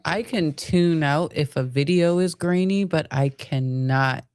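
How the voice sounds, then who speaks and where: A woman's voice: low voice, measured pace, a little mellow, clear, resonant tone, no echo. A woman speaking casually and conversationally as a guest panelist on a live audio show.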